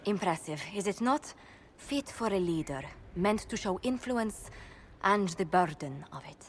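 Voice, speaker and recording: An actress voicing a formal, measured woman advisor in a video game. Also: medium pitch, lively intonation, a little hiss or hum, lightly acted.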